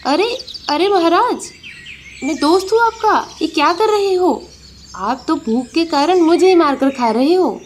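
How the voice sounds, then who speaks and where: A woman voicing a sly, quick-witted male fox in a children's animated story, with a wily, persuasive manner. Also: extremely high pitch, a little hiss or hum, voice pushed up. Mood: alarmed, placating.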